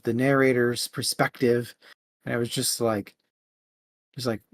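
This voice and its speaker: Slow-paced, halting man discussing books. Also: medium pitch, dry recording, studio quality. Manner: halting, searching for words, informal. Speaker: book discussion co-host. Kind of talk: casual book discussion.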